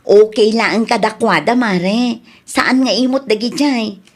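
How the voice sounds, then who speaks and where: Woman acting a cheerful, high-voiced Ilocano friend. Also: takes it slowly. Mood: cheerful, reassuring. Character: cheerful, generous, reassuring female friend and daughter. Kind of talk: comedy radio drama acting.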